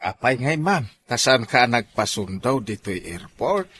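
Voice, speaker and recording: An actor with an Ilocano accent playing a polite, bargaining airport taxi driver in a comedy drama. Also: male voice, medium pitch, very animated, unhurried, a bit bright, slightly rough, full character voice. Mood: chatty.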